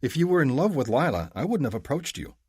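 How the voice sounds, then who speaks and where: A man puts on a young woman's voice, high above his own, swooping with emotion and a little husky. She is earnest and affectionate, her sentences rising and pressing forward. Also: very animated, quick pace, a little mellow, dry recording, studio quality.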